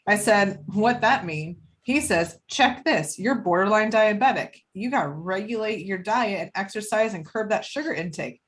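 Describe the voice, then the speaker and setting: A woman's voice: mid-range voice, expressive, moderate pace, few pauses, natural voice. A woman plays a wiry female gangster in her thirties for a film table read. She is chatty and full of herself, with street-smart menace in a mid-range voice. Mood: chatty, needling. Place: remote call from home.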